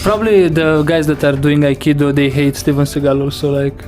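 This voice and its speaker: A man's voice: a little high, fairly even intonation, fairly quick, darkish tone, soft background music. Man co-hosting with short replies. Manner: short replies and steering questions. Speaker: podcast co-host. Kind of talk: casual chat.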